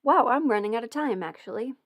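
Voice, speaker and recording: A woman's voice: on the high side, lively intonation, fast talker, dry recording. A young woman hosting a casual livestream Q&A as an audio performer, chatty and meandering, with plenty of fillers and restarts. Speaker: audio performer and channel host.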